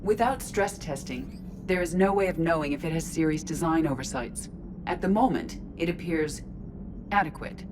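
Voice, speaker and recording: Woman as a low-voiced, precise female AI. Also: moderate pace, noisy background, lightly acted. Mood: calm, precise. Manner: precise, formal, literal, composed. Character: female artificial intelligence in robot body, curious, logical. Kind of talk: video game dialogue acting. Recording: clear ship ambience behind voice.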